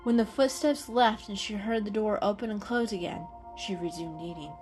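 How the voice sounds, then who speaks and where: A woman's voice: mid-range voice, moderate pace. A woman narrator giving a scripted, dramatic reading of an animal story. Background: music.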